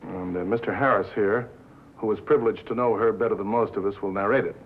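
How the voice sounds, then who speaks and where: Man playing smooth pious network exec, lowish voice, formal n coaxing, tinny old 50s movie sound. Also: fast, close to an everyday voice. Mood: solemn, formal. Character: smooth, insistent, pious male broadcasting executive. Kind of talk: 1950s film drama acting. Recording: tinny, narrow 1950s film soundtrack, faint noise.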